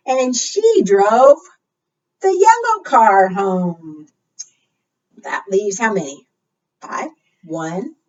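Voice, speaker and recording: A woman leads a storytime for young children with an unhurried, sing-song delivery. Her pitch swings widely as she reads rhymes and asks simple questions. The sound is slightly dull, as if the microphone sits some way off. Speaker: children's librarian leading preschool storytime. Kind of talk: read-aloud storytime with counting rhymes. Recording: muffled, distant microphone.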